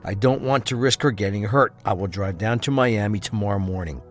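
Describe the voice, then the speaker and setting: A man's voice: lowish voice, brisk, faint music behind, lightly acted. A man playing a guarded, determined husband in a crime audio drama, speaking with intensity. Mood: grim, subdued. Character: capable, determined husband of a kidnapped wife.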